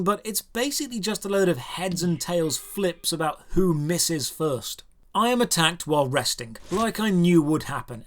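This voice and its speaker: A man's voice: sits high, fairly bright tone. A man delivers scripted game review narration. His delivery is dry and sarcastic, with exasperation running through it as he lays out his complaints.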